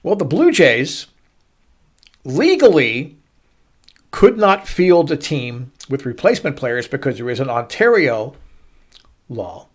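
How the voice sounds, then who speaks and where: A middle-aged man hosting a baseball show, telling a story to listeners with emphatic, dramatic pauses and stretched-out words. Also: medium pitch, very animated, husky. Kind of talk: storytelling sports commentary to listeners.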